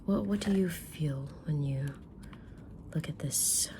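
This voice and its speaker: A woman playing a kind, mysterious tarot-reading witch in a gentle, breathy whisper for an ASMR roleplay. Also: low voice, noisy background. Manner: gentle, deliberate, soft-spoken, drawn-out pauses.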